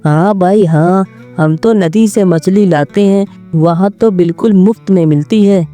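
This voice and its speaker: Woman playing a mellow, sarcastic fish seller. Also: on the low side, measured pace, faint music behind, lightly acted. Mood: mocking. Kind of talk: cartoon moral story character dialogue.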